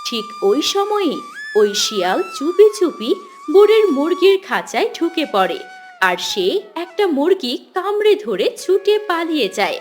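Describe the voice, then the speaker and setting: A woman's voice: very high-pitched, very animated, normal speed, faint music behind. A woman narrating a scripted children's cartoon story as its storyteller.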